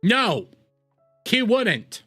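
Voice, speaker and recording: A man's voice: high-pitched, very animated, very slow, bright, forceful tone, breathy edge, soft background music. Angry man ranting with profanity. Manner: heated, profane, repetitive ranting. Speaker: reaction video host. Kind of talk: angry commentary rant.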